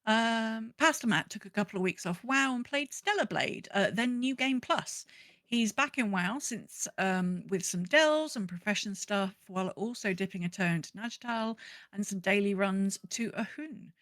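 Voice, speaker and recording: A woman's voice: mid-range voice, normal speed, a bit bright, no room sound. A woman with a mid-range voice talks as a podcast co-host. She is informal and chatty, leaning on words for emphasis. The sound is dry, with very little room in it. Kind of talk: casual gaming podcast chat.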